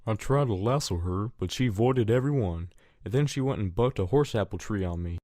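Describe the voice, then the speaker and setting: A man's voice: on the low side, animated, fairly quick, dark tone, no room sound, studio quality. A man playing a stubborn, easygoing farm pony with a folksy American Southern drawl in a fan audio drama. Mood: sheepish recounting.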